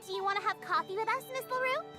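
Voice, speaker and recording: Super high bouncy voice, sweet-but-catty alchemist girl over game music. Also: racing. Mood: cheery catty. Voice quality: sweet, bubbly and quietly cutting. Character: perky, catty young woman alchemist. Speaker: visual novel character.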